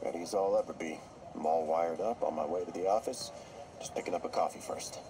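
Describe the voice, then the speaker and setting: A man playing a nervous undercover cop with a deep voice. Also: a little fast, background noise, natural voice. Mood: wry. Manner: wry, anxious, self-deprecating. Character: nervous middle-aged male undercover cop. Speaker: undercover informant. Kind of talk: video game cutscene acting. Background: game score music.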